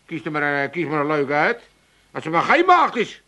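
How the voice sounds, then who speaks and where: A teasing, blustering old Amsterdam father in a comedy radio play. His voice is high and forceful, leaping up and down as he splutters and repeats himself in a working-class accent. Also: very animated, moderate pace. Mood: amused, muddled. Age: older. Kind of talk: 1980s Dutch comedy radio play acting.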